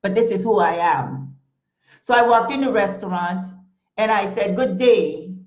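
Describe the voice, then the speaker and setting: A woman host with a Caribbean English accent talks slowly and deliberately, earnest and emphatic, often pausing on fillers. Her voice sits in a middle register. It comes through a dull, lo-fi stream with a roomy echo, as if picked up by a webcam mic in a room at home. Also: mid-range voice, darkish tone. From Panama. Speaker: talk show host. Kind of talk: live-streamed motivational talk.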